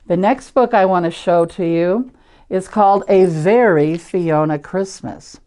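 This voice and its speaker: An older woman with an American Midwestern accent reads and chats to children as a storyteller, in simple, deliberate wording with questions to her listeners. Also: fairly low pitch, dark tone. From Iowa, United States. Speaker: church storyteller reading picture books to children. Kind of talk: children's read-aloud with chatty asides.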